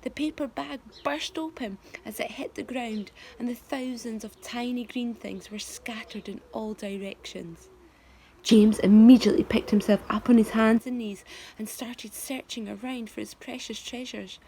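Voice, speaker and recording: A woman's voice: a little high, steady tone, moderate pace, dark tone, slight background noise, rough recording. A middle-aged woman teacher reading a children's book aloud expressively, asking her listeners questions. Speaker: primary school teacher.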